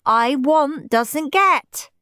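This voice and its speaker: A woman plays a prim, tattling little boy in a storybook, shrill and very high. The voice jumps around a lot and sounds close and dry. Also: animated, very slow, bright, forceful tone, dry recording, heavily acted. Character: prissy goody-goody little boy. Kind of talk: storybook character voice.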